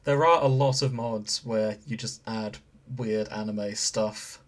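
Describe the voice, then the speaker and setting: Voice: medium pitch, steady tone, slow. A podcast host of unclear sex, chatting casually and explaining things. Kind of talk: casual chat.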